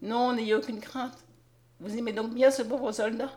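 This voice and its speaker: Lady reading as a gentle old doctor, soothing and measured, storybook style. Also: mid-range voice, steady tone, lightly acted. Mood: calm concern.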